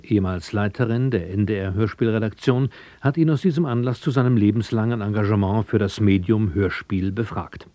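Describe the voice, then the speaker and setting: A man reading a scripted broadcast introduction in a formal, clearly structured way. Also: fairly low pitch, dark tone, no echo. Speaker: radio announcer. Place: dry studio.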